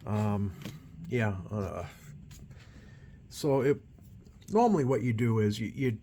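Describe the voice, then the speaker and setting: A man giving unscripted, hands-on repair commentary as a technician, with halting, hesitant phrasing and trailing thoughts. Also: lowish voice. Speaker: CB radio repair technician and channel host. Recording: steady background noise.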